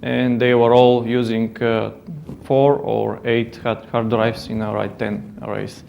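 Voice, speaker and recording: A man's voice: mid-range voice, monotone, a little slow, creaky, muffled recording, slight background noise. A man with a Bulgarian accent giving a technical conference talk, hesitant, with many fillers and restarts. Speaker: conference speaker. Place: conference hall.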